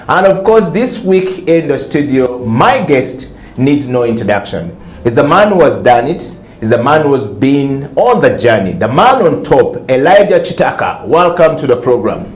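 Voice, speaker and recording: A male host, welcoming a guest to his studio interview show in a measured, reflective way. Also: mid-range voice, big pitch swings, roomy sound.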